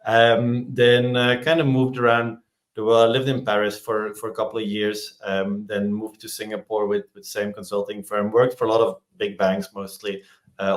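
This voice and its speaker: A man, a podcast guest talking in a relaxed, rambling way as he searches for words. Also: lowish voice. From Belgium. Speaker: podcast guest, startup executive. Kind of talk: casual interview chat.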